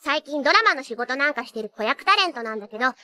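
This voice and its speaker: Super high bubbly anime magical nurse girl, teen, bratty and cute, piercing bright voice. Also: animated, quick pace, no room sound, heavily acted. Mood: snide, bratty. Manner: cutesy, bubbly, catlike verbal tics. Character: perky, cheeky teenage idol magical nurse girl. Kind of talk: anime character acting and excited narration.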